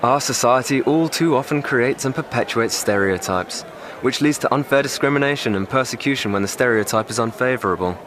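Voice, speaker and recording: An actor playing an educated, articulate young English private of the First World War, speaking formally with dry irony in an audio drama. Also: male voice, medium pitch, brisk, crowd noise, background noise, lightly acted. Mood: dry, composed. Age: young adult. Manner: formal, wordy, dryly ironic.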